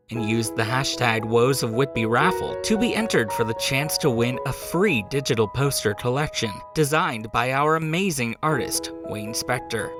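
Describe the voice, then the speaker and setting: A podcast host reading a scripted outro and credits. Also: mid-range voice. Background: music.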